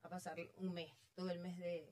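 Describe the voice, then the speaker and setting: Middle-aged venezuelan woman, chatty guest, lively storyteller, laughs at herself. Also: on the low side, fairly quick. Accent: Venezuelan Spanish. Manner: storytelling, animated, self-amused. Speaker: real estate agent guest. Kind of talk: casual interview chat.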